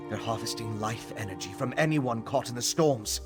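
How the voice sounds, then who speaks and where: An earnest, scholarly alchemist played by a male actor explains things carefully in a fantasy game. His medium-pitched male voice is thoughtful and focused, with music clearly playing behind it. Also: moderate pace, natural voice. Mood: thoughtful, intrigued. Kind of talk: fantasy video game dialogue.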